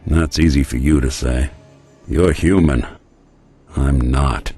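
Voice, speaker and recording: Tired robot detective from a game, low gruff voice, dry drawl, faint music under it. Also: male voice, fairly even intonation, takes it slowly, dark tone, exaggerated voice. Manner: laconic, drawling, gruff noir delivery. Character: world-weary male synth detective, dry and wry. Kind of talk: game companion dialogue.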